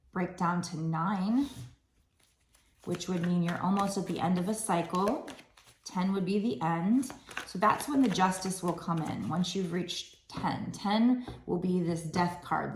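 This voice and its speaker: A woman doing a tarot card reading, talking gently and musingly with restarts, her throat a little raspy. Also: on the low side, unhurried, echoey room, slight background noise. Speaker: tarot reader.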